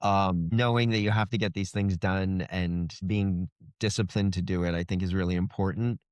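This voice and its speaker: Low-voiced man in casual interview chat. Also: steady tone, moderate pace, a little mellow, clear, resonant tone, no echo. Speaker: guest author.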